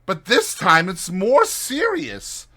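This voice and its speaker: A man's voice: sits high, huge pitch swings, slow, gravelly, sharp tone, voice pushed up, exaggerated voice. A man voicing an earnest, worried male water rat in a dramatized storybook reading, speaking in a grave, emphatic way.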